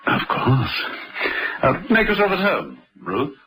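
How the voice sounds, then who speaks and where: A man playing a suave, flirtatious gentleman agent in a radio drama, urbane, gallant and teasing. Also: a little high, huge pitch swings, slow pace, breathy edge, faint music behind, heavily acted, low-quality recording. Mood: suave flirtation.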